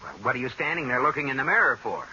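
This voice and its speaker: A muffled old radio recording of an exasperated American sitcom husband. His voice is very sharp and forceful, swinging up and down as he scolds with indignant emphasis. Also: medium pitch, expressive, racing, a little hiss or hum. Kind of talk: 1940s-50s radio sitcom acting.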